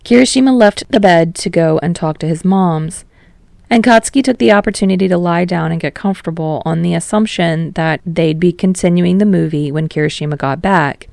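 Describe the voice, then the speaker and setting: A woman's voice: fairly low pitch, a little fast, vocal fry, a little mellow, no room sound. A woman reading fiction aloud as an audiobook narrator, in a scripted storytelling style.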